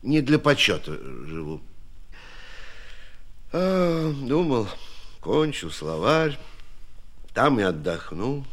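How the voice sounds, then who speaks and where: An actor in a Soviet radio play voicing an elderly, modest, good-humoured scholar with folksy, old-fashioned speech. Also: male voice, medium pitch, fairly bright tone, heavily acted.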